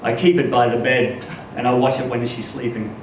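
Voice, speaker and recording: A man reads literary fiction to a live event with expressive, dramatic storytelling. His mid-pitched voice comes from a distance in a very echoey room, with clear background noise. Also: a little fast. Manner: expressive storytelling, acts out dialogue. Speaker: story reader.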